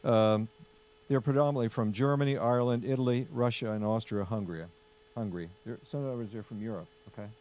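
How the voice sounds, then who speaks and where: A man's voice: medium pitch, fast talker, darkish tone, a little hiss or hum. A man hosting a casual political discussion, chatty and full of fillers, steering with questions. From United States. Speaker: host.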